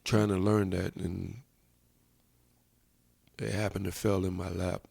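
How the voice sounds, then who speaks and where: A man chats on a podcast, telling his stories in a relaxed, drawn-out way. His voice is low and gravelly, with plenty of fry. The sound is close and dry. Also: no room sound. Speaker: podcast co-host, sound system operator. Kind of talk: casual podcast chat.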